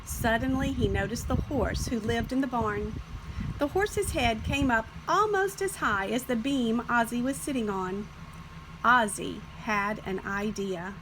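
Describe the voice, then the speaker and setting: A woman reading a children's picture book as scripted narration, with expressive, deliberate phrasing. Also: a little high, noisy background. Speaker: storybook reader.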